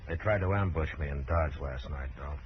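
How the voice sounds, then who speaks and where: An American actor playing a calm, firm Old West marshal in a radio drama, measured and grave. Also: male voice, very deep voice. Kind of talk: 1950s radio western acting. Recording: muffled, faintly noisy old radio broadcast.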